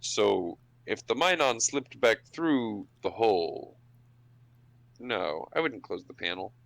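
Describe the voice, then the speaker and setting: A man with a fairly low voice plays an improvised tabletop role-playing game. He talks casually, musing aloud, breaking off and starting again as he works out his next move. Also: sing-song, slow, gravelly, a bit bright. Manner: muses aloud, breaks off and restarts.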